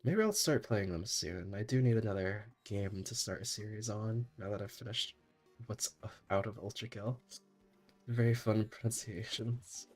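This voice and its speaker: A man's voice: lowish voice, normal speed, a little mellow, soft background music. A young man streaming a game while sick, chatting in a rambling way and laughing often. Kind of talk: casual gaming stream chat.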